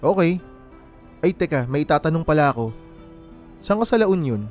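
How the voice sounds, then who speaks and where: A man's voice: on the high side, expressive, brisk, a little mellow, soft background music, lightly acted. Lightish-voiced, easygoing young guy played by an actor. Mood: easygoing, teasing. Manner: casual, teasing. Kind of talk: radio romance drama dialogue.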